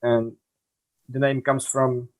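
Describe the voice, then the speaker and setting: Slow, hesitant Czech-accented man. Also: mid-range voice, flat delivery. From northern Czech Republic. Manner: hesitant, halting phrasing. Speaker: podcast guest, artist. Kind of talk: casual interview talk.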